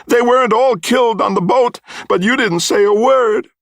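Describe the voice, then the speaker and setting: A man's voice: very high voice, normal speed, dry recording, clean studio sound, voice pushed up. A man voicing a distraught mother in an audiobook story, screaming bitter accusations. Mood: furious, grieving.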